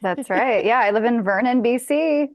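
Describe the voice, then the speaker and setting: A woman's voice: fairly high pitch, normal speed, dull audio. An American woman, former pop singer, chatting cheerfully on a podcast. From United States. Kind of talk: casual interview chat.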